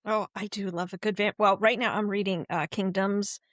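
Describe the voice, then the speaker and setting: A woman's voice: mid-range voice, fairly even intonation, normal speed, fairly bright tone, no echo, studio quality. A woman hosting a casual podcast chat, eagerly asking questions and laughing easily. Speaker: podcast host.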